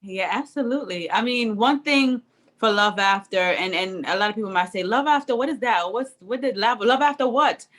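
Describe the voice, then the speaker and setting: A woman's voice: mid-range voice, normal speed, a bit bright. A woman from Jamaica with a Jamaican accent, a guest in an interview, speaking earnestly and reflectively and laughing easily. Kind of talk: conversational interview.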